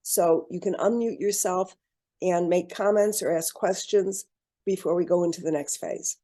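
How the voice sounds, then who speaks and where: A woman presenting an online workshop on a video call, speaking in a measured, encouraging way with frequent ums and restarts. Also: fairly low pitch, dark tone. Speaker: program presenter.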